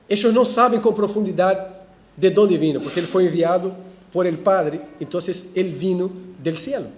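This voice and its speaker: A pastor delivers a verse-by-verse sermon in a church, his voice sitting high for a man yet mellow in tone. He preaches in animated swells, breaking into restarts and ironic asides. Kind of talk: expository sermon.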